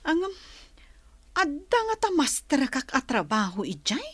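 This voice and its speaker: A woman's voice: sits high, wildly swooping pitch, measured pace, dry recording, exaggerated voice. A woman with an Ilocano accent playing a defiant, selfish young wife who whines and argues in a radio drama. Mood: pleading, scheming. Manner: whiny, argumentative.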